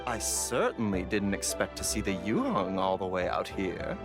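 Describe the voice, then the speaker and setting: A man's voice: deep voice, very animated, normal speed, over music. A man voicing a courteous, genteel herbalist doctor in a video game, with a polite, lilting, theatrical manner. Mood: pleased, courteous.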